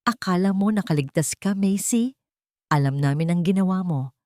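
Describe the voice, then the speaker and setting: A woman's voice: lowish voice, moderate pace, a little mellow, no room sound, clean studio sound, natural voice. A woman narrator voicing a menacing anonymous blackmailer with a taunting, threatening manner and a Filipino accent.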